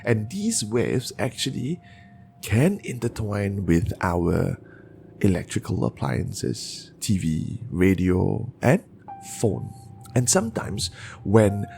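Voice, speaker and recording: A man narrating bedtime horror stories in a deliberate, dramatic way, with pauses. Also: on the low side, big pitch swings, gravelly, a little mellow, faint music behind.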